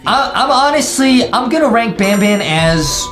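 A man in his twenties reacting to gameplay as an excited host, slangy, repeating phrases as he goes. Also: very high-pitched, a little slow. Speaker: YouTube host. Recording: music behind the voice.

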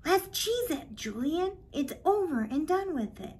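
A woman reading aloud the part of a brash, goading neighborhood boy from a storybook in an animated way. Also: very high voice, unhurried, pitched above the performer's own voice, full character voice. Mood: urging.

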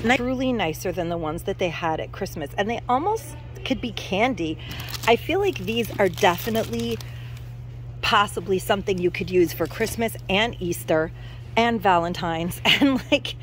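A woman's voice: lowish voice, a little hiss or hum. A woman vlogging as she walks through a discount store, filming on a handheld phone and gushing enthusiastically to her viewers. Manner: gushing, enthusiastic, addresses viewers as you guys. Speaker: shopping vlogger. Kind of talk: casual shop-with-me chat. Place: inside a large discount store. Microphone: handheld phone camera.

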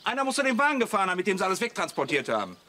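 A blustering, self-important man leading fellow campers in a radio play. His high voice swings widely in indignant, combative speech. Faint noise lies behind him. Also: wildly swooping pitch, racing, sharp tone, exaggerated voice. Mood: alarmist, pompous. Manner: pompous, combative. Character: blustering, indignant self-appointed campground ringleader Hajo. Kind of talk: German radio play acting.

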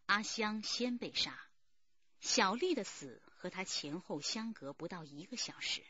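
An actress playing the composed narrator of a radio crime story, measured and dramatic in her scripted delivery. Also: medium pitch, expressive, highs cut off, crowd noise, lightly acted.

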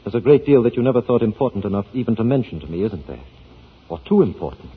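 An actor in a 1940s radio drama playing a jealous, controlled husband with a formal, measured manner. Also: male voice, on the low side, lively intonation, breathy edge. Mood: cold suspicion. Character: controlled, jealous, brooding husband of an opera star. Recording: tinny, noisy 1940s radio broadcast.

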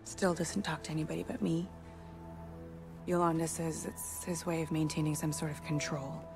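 A woman's voice: low-pitched, flat delivery, normal speed. A woman playing a worried, stubborn younger sister and young mother in a naturalistic video game scene, plain-spoken and conversational. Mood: subdued, earnest. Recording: music under the voice.

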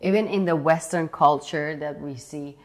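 Young woman interview host from Iran, low-pitched, animated and searching in her delivery, lapel mic in a living room. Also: moderate pace. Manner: animated, searching for words, restarts often. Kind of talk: conversational interview. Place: bright living room indoors.

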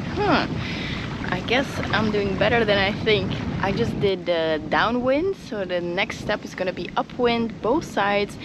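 A woman from Uganda giving a casual vlog recap to camera outdoors by the sea. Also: mid-range voice. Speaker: vlogger. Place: outdoors at a windy seaside. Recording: very noisy outdoor wind and water.

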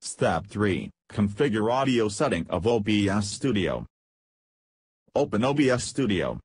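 A man reading a scripted, step-by-step software tutorial as its narrator. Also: lowish voice, very animated, unhurried, breathy edge, no room sound.

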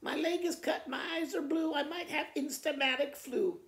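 A man's voice: very high-pitched, normal speed, vocal fry, fairly bright tone, pitched above the performer's own voice, exaggerated voice. A man voicing a melodramatic little girl who is faking sickness, whiny and self-pitying as she lists complaints. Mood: whiny complaining. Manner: whiny, breathless listing of complaints. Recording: roomy indoor echo.

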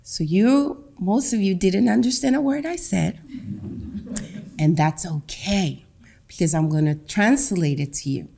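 A middle-aged woman from Honduras, a Garifuna speaker, giving a public talk from a lectern in a meeting room, with a slow, emphatic delivery. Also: mid-range voice, expressive, gravelly, dark tone. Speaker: guest speaker. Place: meeting room with a seated audience. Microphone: lectern microphone.

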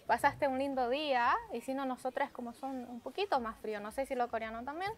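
High-voiced woman with a Chinese accent. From China. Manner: halting, searching for words, laughing often. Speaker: guest. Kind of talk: casual chat. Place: TV studio set.

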